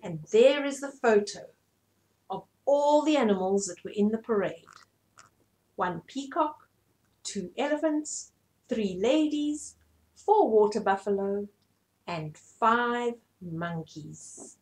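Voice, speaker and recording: Slow, animated woman reading to children. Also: fairly high pitch, poor audio. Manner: expressive, explaining to small children. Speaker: storytime host. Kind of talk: read-aloud children's bedtime story. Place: small furnished room indoors.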